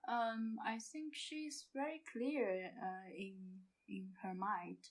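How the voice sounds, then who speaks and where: A woman answering casual interview questions as a guest, with hesitant, halting non-native phrasing. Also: on the high side, expressive. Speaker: podcast guest, amateur audio play actor.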